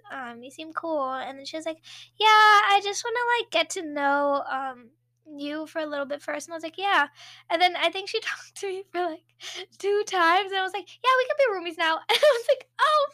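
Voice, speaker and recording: A young woman co-hosts a casual podcast in a noticeably high voice. She talks chattily and giggles often, with plenty of likes and ums along the way. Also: bright, forceful tone. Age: young adult. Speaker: podcast co-host. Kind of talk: casual chat.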